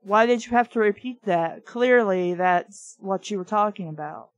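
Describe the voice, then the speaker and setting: A woman's voice: mid-range voice, normal speed, no room sound, faint music behind. A woman reading a children's storybook aloud and breaking off with opinionated, nitpicking comments. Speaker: amateur reader and commentator of children's books.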